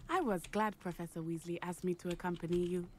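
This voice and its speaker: A woman's voice: lowish voice, fairly even intonation, fast talker, a little hiss or hum, natural voice. An actress playing a friendly, adventurous teenage witch in a video game, chatty and precise in her wording. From Africa.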